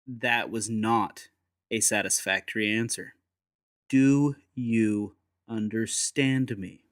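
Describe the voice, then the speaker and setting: Coolly menacing gentleman agent, acted by a man, dry sound. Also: on the low side, steady tone, dry recording. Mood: cold menace. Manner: deliberate, courteous menace. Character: ruthless, coolly menacing gentleman secret agent interrogating a prisoner. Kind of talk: dramatised audiobook acting.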